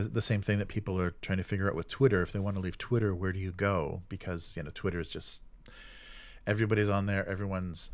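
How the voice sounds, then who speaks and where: A man hosting a tabletop gaming livestream, chatting casually and reading out viewer comments in a friendly, informal, halting way. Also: low-pitched.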